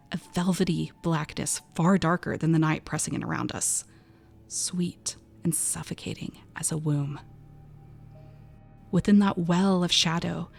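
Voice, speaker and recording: Female narrator for eerie audio drama: frightened young woman, expressive first-person delivery, breathy under tension. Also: lowish voice. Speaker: voice actor narrating a short story.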